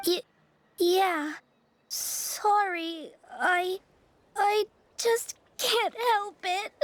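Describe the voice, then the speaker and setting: A woman voicing a timid, frail, earnest little girl in a video game, with a soft, girlish voice. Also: extremely high pitch, extremely slow, full character voice. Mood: tearful.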